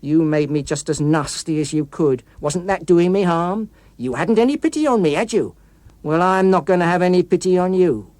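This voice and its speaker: A man's voice: sits high, moderate pace, a little mellow, dry recording, pitched above the performer's own voice, lightly acted. A man voicing a menacing rogue policeman, a vengeful criminal who taunts and accuses, in a dramatic audiobook. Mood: taunting, bitter.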